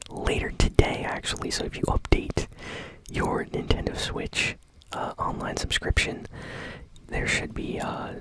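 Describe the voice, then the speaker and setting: A woman whispers gaming news in a breathy ASMR style, casually and hesitantly, as if thinking aloud while presenting. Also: rough recording.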